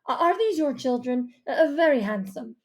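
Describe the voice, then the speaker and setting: A woman's voice: medium pitch, fairly even intonation, dark tone, close to an everyday voice. A stiff, affected maître d' acted by a woman narrator, snooty and oily. He draws out his words with exaggerated formality, in a storybook style. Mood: flustered, fawning. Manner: stiff, drawn-out, stammering when flustered. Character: snooty, fawning male restaurant maître d'. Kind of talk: audiobook character voice.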